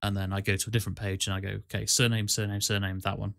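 Low-voiced man chatting in an even tone. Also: rapid-fire, creaky, no room sound, clean studio sound. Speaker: podcast host and amateur voice actor. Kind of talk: casual podcast chat.

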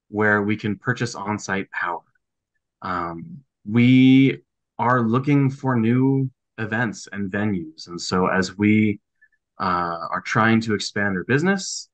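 A man's voice: on the low side, fairly bright tone, low-quality recording. A man who owns a small food business, giving a business presentation on a video call. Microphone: video call microphone.

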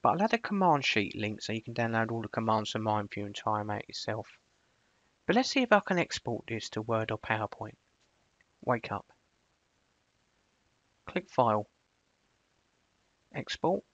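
A man presenting a screencast software tutorial, switching between casual explanation and clipped spoken commands. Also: fairly low pitch, sing-song, moderate pace, pauses often, no room sound, dull audio.

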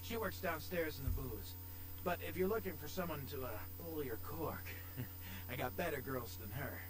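An actor playing a sleazy middle-aged strip club manager in video game dialogue, with an oily, slangy way of talking. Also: male voice, fairly high pitch, expressive, moderate pace, noisy background, natural voice. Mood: sleazy, insinuating. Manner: oily, insinuating.

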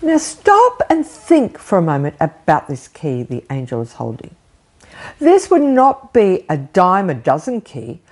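Slow, lively older woman preaching. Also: lowish voice, husky. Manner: animated, gesturing, rhetorical questions. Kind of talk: recorded home sermon. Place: living room with bookshelf, indoors. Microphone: fixed camera framing, seated.

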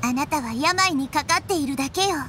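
A woman's voice: high voice, fairly quick, faint music behind. A woman voicing a small, wise young girl goddess in an anime game, composed and earnest. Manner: composed, earnest, soft feminine phrasing.

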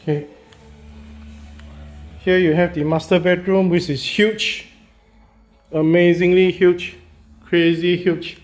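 A man, a real estate agent walking through a property and talking casually, halting and repeating himself with many fillers as he goes. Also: high voice, level tone. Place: walking through a house and yard, in and out of doors.

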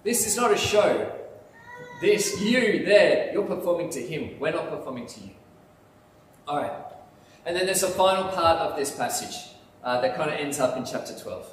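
A man preaching a sermon in a church sanctuary, speaking in an animated way with lots of emphasis. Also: a little high, moderate pace, slight background noise. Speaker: pastor. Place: church sanctuary, in front of altar. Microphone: lapel mic, filmed by far camera. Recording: echoey church hall from far off.

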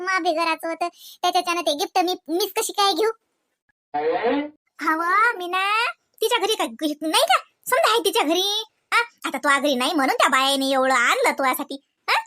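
Casting: an actor as a fussy, chatty cartoon village woman, rural Varhadi Marathi accent, rapid bright delivery, nagging colloquial manner. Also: very high-pitched. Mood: fussy, persuading. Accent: rural Varhadi Marathi, Vidarbha. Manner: colloquial, nagging, repeats questioning tags. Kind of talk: comedy cartoon dialogue in rural household chat.

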